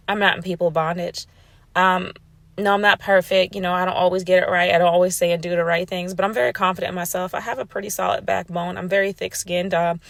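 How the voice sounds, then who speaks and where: A woman's voice: fairly low pitch, vocal fry, a bit bright, no echo. A woman talking casually and emphatically to her audience, repeating herself to make her point, with features. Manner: assertive, emphatic, repeats "right?" and "you know". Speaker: vlogger. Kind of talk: casual monologue to camera.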